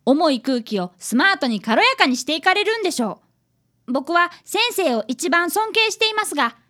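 A woman's voice: high-pitched, pushed and bright, heavily acted. A woman playing an earnest, polite fifth-grade boy who is an apprentice detective in a radio drama. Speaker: narrator and character in a radio drama. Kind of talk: Japanese radio drama acting.

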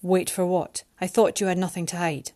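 A woman voicing a proud, cold winged man who is about to rule, speaking low and clipped. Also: level tone, moderate pace, mellow, lightly acted. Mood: stern.

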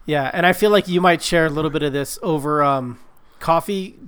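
A man's voice: on the high side, a little fast, fairly bright tone, clear, resonant tone. Stuffed-up man, rambling and profane. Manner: rambling, profane, self-mocking. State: stuffed-up nose from a cold. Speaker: podcast co-host. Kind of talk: casual chat.

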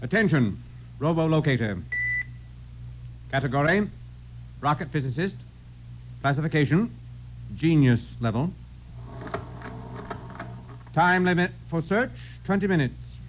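An actor playing a brusque, self-important head of a scientific foundation in a 1950s radio drama, clipped and commanding. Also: male voice, on the high side, animated, unhurried, long pauses, a little hiss or hum. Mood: brisk, commanding. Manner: clipped, commanding, formal dictation. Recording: dull, humming vintage radio broadcast recording.

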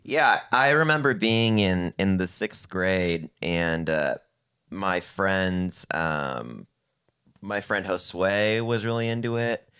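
A man's voice: low-pitched, expressive, slow, pushed and bright, clear, resonant tone. A young man with a Chicago accent in casual chat, talking out of the side of his mouth and stretching his syllables.

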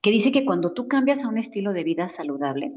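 A woman's voice: mid-range voice, sing-song, quick pace, darkish tone. A woman explaining healthy habits in a casual chat, restarting phrases and adding fillers as she goes. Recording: dull lo-fi audio.

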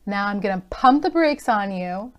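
A woman with a mid-range voice opens a podcast segment. Her delivery is loud, punchy and full of energy. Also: mid-range voice, animated, moderate pace. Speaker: podcast host.

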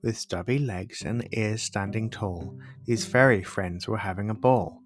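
A man's voice: fairly low pitch, unhurried, faint music behind. A man narrating children's bedtime stories from a script as the storyteller.

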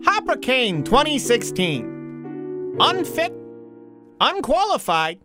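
A high, slow-paced woman's voice narrates an attack ad with ominous emphasis, swooping widely in pitch. Piano music plays clearly behind her. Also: very animated. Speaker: political ad voice-over.

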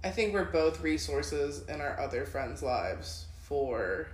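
A young woman with a low, gravelly voice, co-hosting a casual chat in a frank, joking, laid-back way. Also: sing-song, slow pace, darkish tone, reverberant. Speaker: podcast co-host.

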